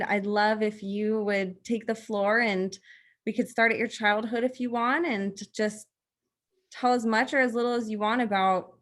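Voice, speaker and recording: A woman's voice: medium pitch, fairly bright tone. Young woman, podcast host, earnest admiring tone, long flowing sentences, video call. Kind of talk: interview introduction. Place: home room, indoors.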